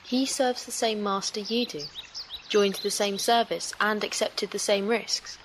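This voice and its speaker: A woman playing a calm, analytical alien companion in a sci-fi audio drama, speaking in a measured, formal, literal way. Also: medium pitch, background noise, close to an everyday voice. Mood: logical, measured.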